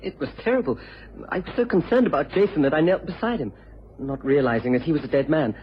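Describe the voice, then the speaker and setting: Muffled old radio audio, faintly hissy. An actor plays a shaken gentleman's son, a man with a fairly high voice, earnest and distressed as a witness. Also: lively intonation, fast, lightly acted. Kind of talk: radio crime drama acting.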